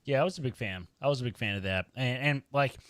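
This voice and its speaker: A man's voice: mid-range voice, fast talker, a bit bright. A man chatting casually on a podcast panel in a loose, colloquial way. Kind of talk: casual chat.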